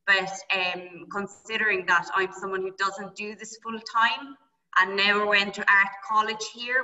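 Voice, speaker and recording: A woman's voice: medium pitch, bright, forceful tone. A woman from Europe asking a long question on a video call, apologetic and hesitant, with many fillers. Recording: distant, muffled video call audio.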